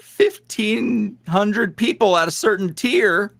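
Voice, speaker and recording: A man talking candidly as an interview guest about his work as an audio creator, with quick self-deprecating asides. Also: high voice, lively intonation, creaky, fairly bright tone, no room sound, clean studio sound. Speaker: guest, ASMR roleplay creator. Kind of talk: casual interview chat.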